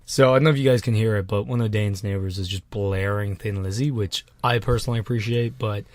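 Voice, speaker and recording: A man's voice: lowish voice, steady tone, fast, no room sound. A young man co-hosting a podcast, riffing in casual, profane, joking chat from a small closet. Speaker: podcast co-host.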